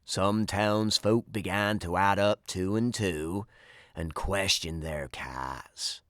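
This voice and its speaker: A man reading a rhyming children's story aloud in an animated, dramatic way. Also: low voice, slow pace, no room sound, clean studio sound. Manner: theatrical, dramatic delivery. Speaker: storybook narrator.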